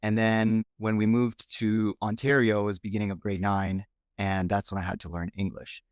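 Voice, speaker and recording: A man born in Romania, speaking English as a later language, talks frankly and reflectively as a podcast guest. His voice sits fairly low, and the sound is dry and close with little room in it. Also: flat delivery, moderate pace, clean tone, no echo. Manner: frank, reflective, thinks aloud. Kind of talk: casual interview chat.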